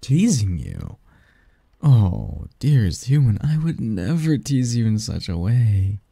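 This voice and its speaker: Man playing a dark, breathy seductive demon lover. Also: on the low side, wildly swooping pitch, no echo, studio quality, heavily acted. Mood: teasing, affectionate. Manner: slow, coaxing, teasing, murmured. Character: seductive, doting male sleep demon lover, softly possessive. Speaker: roleplay audio performer. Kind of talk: ASMR roleplay, intimate. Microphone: close, dry microphone.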